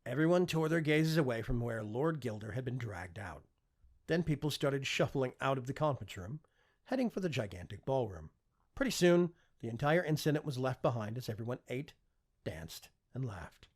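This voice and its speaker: A man's voice: medium pitch, very animated, moderate pace. A man narrating fiction as an audiobook reader, in a dramatic, emphatic scripted style.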